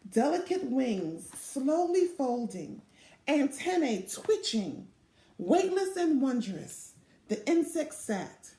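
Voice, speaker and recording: A middle-aged woman reading a children's storybook aloud in a deliberate, expressive way, recorded in a small room. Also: sits high. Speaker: volunteer storybook reader. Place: small indoor room or home office. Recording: roomy, echoing home webcam recording.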